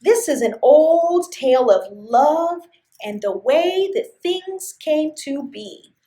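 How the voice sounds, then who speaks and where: A woman reading a story to young children in an expressive, sing-song way, often putting questions to her listeners. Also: high voice, slow pace, roomy sound. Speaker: library story time host and reader.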